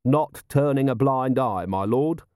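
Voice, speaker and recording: Guy doing a gruff sardonic cop boss in a fantasy audiobook, blunt, dry sound. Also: mid-range voice, a little slow, darkish tone, no echo, voice pushed up, lightly acted. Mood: defiant, official. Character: gruff, sardonic middle-aged male watch commander.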